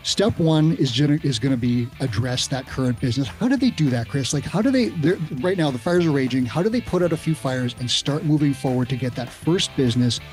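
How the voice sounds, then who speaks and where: A middle-aged man hosting a podcast, speaking in a measured, conversational way as he sets up questions for his guest. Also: medium pitch, expressive, a little mellow, soft background music. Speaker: podcast host. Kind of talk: casual podcast interview. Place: home studio with shelves. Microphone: studio podcast mic with headphones.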